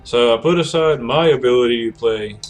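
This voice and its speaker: A man's voice: mid-range voice, normal speed, faint music behind. A man streaming a video game, chatting casually and rambling with many self-corrections, his voice cracking from a cold. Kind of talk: casual gameplay commentary.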